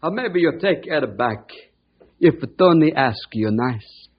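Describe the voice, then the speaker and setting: Casting: gentle, pleading older-brother type, highish swooping voice, vintage radio serial. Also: male voice, expressive, slow, slightly rough, full character voice. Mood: gently pleading. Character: kindly adult pleading for boys to forgive. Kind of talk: old-time radio drama acting. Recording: dull vintage radio recording.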